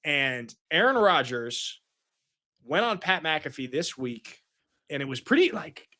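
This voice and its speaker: A man's voice: fairly high pitch, very animated, a little slow, pushed and bright. A man in his forties hosting a sports podcast, chatty and joking, rambling along with fillers. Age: 40s. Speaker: podcast host. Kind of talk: casual sports podcast chat.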